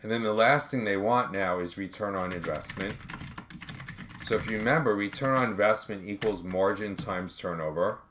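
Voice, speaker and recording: A man working step by step through an accounting tutorial as an instructor, in a measured way, reasoning aloud as he calculates. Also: lowish voice, a bit bright. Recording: background noise with keyboard typing clicks.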